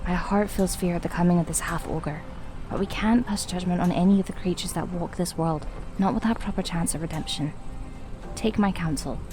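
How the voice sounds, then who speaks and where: A woman voicing a wise, gentle ranger who seems young yet ancient, speaking formally and with measured counsel in a fantasy drama. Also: fairly low pitch, music in the background, natural voice. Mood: earnest, cautioning.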